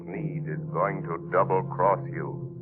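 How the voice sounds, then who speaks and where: An actor playing a mysterious, menacing invisible crime fighter in slow, deliberate 1930s radio style. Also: male voice, deep voice, level tone. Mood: ominous, hypnotic. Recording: muffled 1930s radio broadcast, organ-like music behind.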